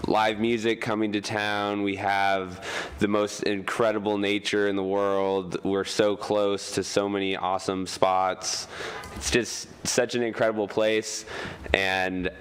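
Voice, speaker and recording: A man's voice: lowish voice, flat delivery, unhurried, smooth. A young man, a biologist running for council, speaking earnestly and eagerly at a candidate forum.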